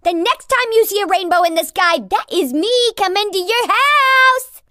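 A man's voice: very high-pitched, moderate pace, sharp tone, no room sound, clean studio sound, exaggerated voice. An actor voicing a gleeful male cartoon rainbow who taunts and insults the player in a video game. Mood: mocking. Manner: bratty, insulting, cackling.

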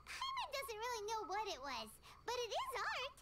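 Very high squeaky little fairy. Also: female voice, wildly swooping pitch, normal speed, very noisy, exaggerated voice. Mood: awed. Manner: refers to herself in third person, gushing. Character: small childlike female fairy companion, squeaky, earnest and awed. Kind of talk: video game character acting.

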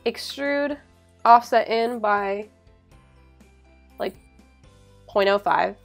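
A young adult woman narrating a software tutorial in a casual, informal way, often saying "like". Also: on the high side. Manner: informal, hedging with frequent "like". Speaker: tutorial presenter. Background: background music.

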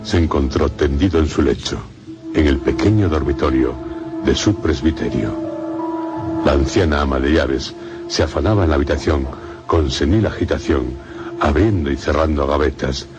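An actor playing the solemn narrator of a gothic radio tale, with measured, deliberate phrasing and a Castilian Spanish accent. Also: male voice, extremely low pitch. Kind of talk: scripted radio drama narration. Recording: loud music under the voice.

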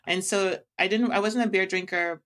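A woman's voice: medium pitch, steady tone, moderate pace, fairly bright tone. A woman in her forties talking casually and reflectively as a podcast guest, thinking aloud with plenty of fillers. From Jamaica. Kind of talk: casual conversation.

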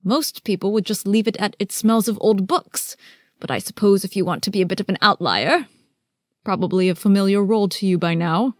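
Woman voicing a wry older librarian, prim, brisk, mid-pitched, dry recording, animated film. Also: dry recording, lightly acted. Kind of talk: animated film character acting.

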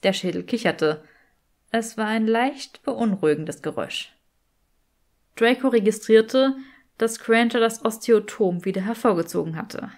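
A woman's voice: fairly high pitch, measured pace, a little mellow. A woman reading scripted fiction as an audiobook narrator.

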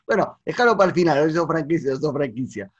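High, lively host. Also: male voice, slightly rough, highs cut off. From South America. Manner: energetic, exclamatory, gestures with hands. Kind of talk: enthusiastic interview. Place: home study with bookshelves. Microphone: webcam on a video call.

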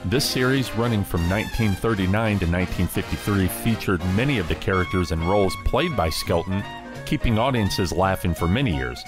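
Male radio host, lowish voice, scripted presenting over clear background music. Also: expressive, brisk. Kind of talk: scripted introduction of old radio shows.

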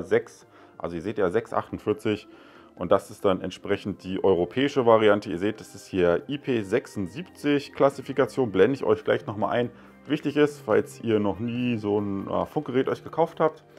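A man in his thirties giving a casual, chatty product review at home, explaining step by step with a few fillers. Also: fairly low pitch. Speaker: tech reviewer. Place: living room indoors.

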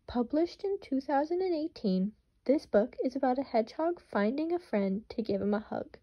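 A woman's voice: sits high, lively intonation, lo-fi sound. A young woman reading a children's picture book aloud and explaining vocabulary words. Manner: slow and explanatory. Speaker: student teacher.

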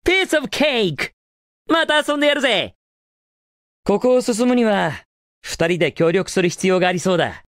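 A man's voice: high-pitched, expressive, normal speed, long pauses, a bit bright, no room sound, studio quality. An actor playing a cocky, confident young male hedgehog hero in a Japanese video game, with a cool, casual delivery. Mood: cocky, taunting, then focused, determined.

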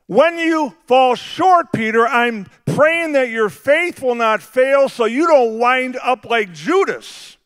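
A middle-aged male pastor with a big voice, preaching a sermon that swings from quiet, conversational asides to shouted, drawn-out emphasis. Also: very high voice, lively intonation, clear, resonant tone. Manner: drawn-out emphatic phrasing on key words.

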